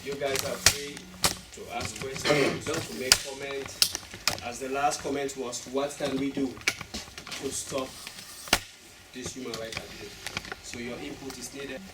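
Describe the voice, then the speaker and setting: A man's voice: medium pitch, steady tone, unhurried, long pauses. A man, the director of a stage play, leading the audience question and answer in an upbeat, inviting way. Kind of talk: post-show question and answer. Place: college theater hall. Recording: echoey hall, far from the mic, loud noise.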